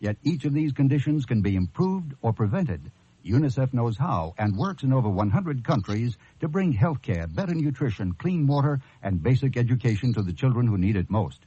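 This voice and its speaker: Earnest husky male announcer. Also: mid-range voice, big pitch swings, normal speed, mellow. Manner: earnest, polished. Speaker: public service announcer. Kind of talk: scripted sober charity announcement. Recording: dull, dry radio recording.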